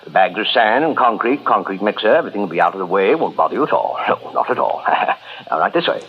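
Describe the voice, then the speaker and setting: A man's voice: fairly low pitch, expressive, fast talker, husky. An actor playing a fawning, gloating middle-aged murderer in 1940s radio horror style, theatrical and repeating his words. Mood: fawning, eager. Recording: dull vintage radio drama recording.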